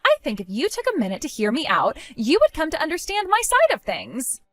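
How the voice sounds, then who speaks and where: A woman's voice: sits high, wildly swooping pitch, brisk, fairly bright tone, dry recording, pitched above the performer's own voice, exaggerated voice. A woman voicing a spiteful, mocking young woman who giggles and taunts, then pleads when cornered. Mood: pleading. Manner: sing-song, dismissive, turns pleading.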